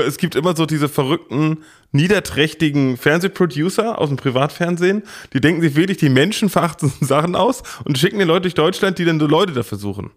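A man's voice: fairly high pitch, lively intonation, a little fast. A man co-hosting a podcast, telling anecdotes with amused irony. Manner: ironic, amused storytelling. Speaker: podcast co-host. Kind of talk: casual chat, anecdote.

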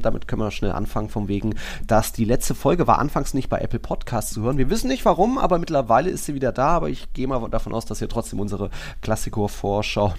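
A man hosting a football podcast in a casual conversational style. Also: medium pitch. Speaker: podcast host.

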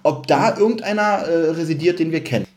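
A man's voice: fairly high pitch, a little fast, darkish tone. A man co-hosting a podcast, casually retelling a story, searching for words and slipping into little acted quotes. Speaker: podcast co-host.

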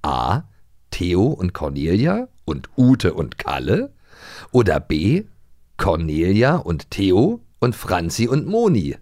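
A man co-hosting a podcast in casual, playful quiz banter, often halting and rambling. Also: sits low, wildly swooping pitch, darkish tone. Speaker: podcast co-host.

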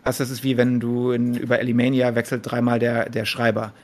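Male co-host, rapid delivery, analytical but casual, German loaded with English industry terms. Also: mid-range voice, completely flat delivery. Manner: heavily mixes English industry jargon into German. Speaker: podcast co-host.

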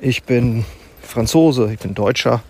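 A man talks through his own podcast while walking outdoors in a forest, with the quiet ambience of the woods faintly audible behind him. His voice sits at an ordinary middle pitch. He muses aloud in an unhurried way, pausing to think and filling the gaps as he goes. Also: slight background noise. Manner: musing and unhurried, with thinking pauses and fillers. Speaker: podcast host. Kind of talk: reflective solo podcast monologue. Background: faint forest ambience and animal sounds.

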